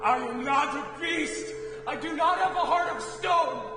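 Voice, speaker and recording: An actor playing an anguished, defiant king and father in a stage tragedy, declaiming and often shouting. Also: very high voice, unhurried. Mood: anguished defiance. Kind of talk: theatrical stage acting. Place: school theatre stage. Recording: very echoey hall, distant mic, music behind.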